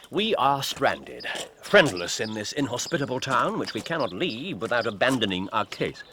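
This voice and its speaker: An actor playing a shrewd, wry gentleman detective with a British accent, speaking precisely and theatrically in an audio drama. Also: mid-range voice, sing-song, normal speed, a little hiss or hum. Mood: wry, animated.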